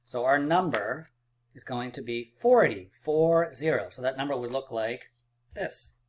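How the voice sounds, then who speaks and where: A man teaching a math lesson for children, upbeat and encouraging, a little hoarse and clearing his throat. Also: mid-range voice, lively intonation, measured pace, a little mellow, slight background noise, low-quality recording. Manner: upbeat and encouraging, playful drum rolls and counting aloud. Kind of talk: math lesson video for kids.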